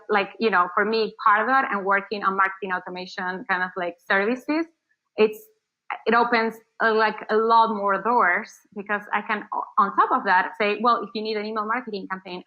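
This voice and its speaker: A woman's voice: mid-range voice, pushed and bright, muffled recording. A woman from Argentina, not a native English speaker, giving casual interview answers as an agency founder, rambling with many fillers and restarts. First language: Spanish.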